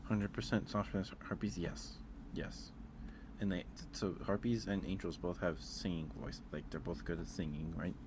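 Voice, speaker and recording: A soft-spoken man hosting a casual ASMR stream, chatting with viewers and musing aloud in a hesitant way. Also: sits low, fairly even intonation, normal speed, noisy background.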